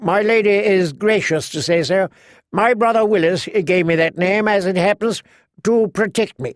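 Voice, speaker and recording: A gallant, good-humoured young knight as a male narrator performs him, courteous and earnest in a storybook tale. His voice sits high and is recorded dry and close. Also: moderate pace, no room sound, natural voice. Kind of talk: audiobook character voice.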